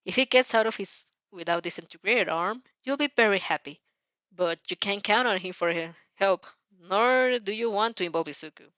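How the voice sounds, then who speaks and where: A woman narrating a fan fiction story dramatically, with an expressive, somewhat halting delivery. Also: mid-range voice, dry recording, dull audio.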